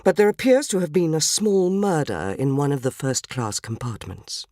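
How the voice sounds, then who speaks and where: A woman voicing a gallant gentleman doctor in a story, formal, solemn and measured. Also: deep voice, no echo, studio quality, voice pushed down, lightly acted. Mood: grave.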